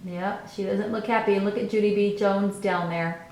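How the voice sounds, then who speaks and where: A woman's voice: medium pitch, fairly even intonation, moderate pace, a little mellow. A woman hosting a children's read-aloud, speaking in a chatty, informal way to young listeners. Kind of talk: children's book read-aloud with casual asides. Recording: echoey untreated room.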